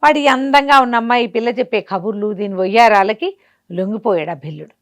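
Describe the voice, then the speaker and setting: High-voiced woman telling a folk tale. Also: fast. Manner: conversational, asks and answers her own questions. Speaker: storyteller. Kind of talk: spoken folk-tale storytelling.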